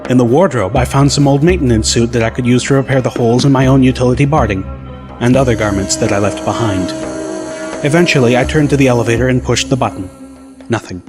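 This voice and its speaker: A man's voice: medium pitch, fast talker. A man reading scripted first-person story narration as an audiobook narrator. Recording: loud music bed under narration. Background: music.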